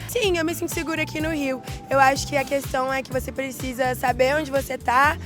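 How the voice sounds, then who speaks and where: A woman's voice: sits high, steady tone, fairly quick, over music. A woman speaking in a reassuring, easygoing way during a casual street interview.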